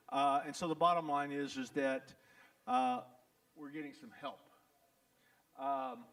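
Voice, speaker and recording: Texan lawyer at a town hall, plain-spoken and wisecracking, fairly high voice, mic picks him up from afar. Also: male voice, a bit bright, distant mic. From Texas. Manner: folksy, blunt, joking, repeats "the bottom line is". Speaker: attorney. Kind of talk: town hall address to residents. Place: event venue in front of an audience.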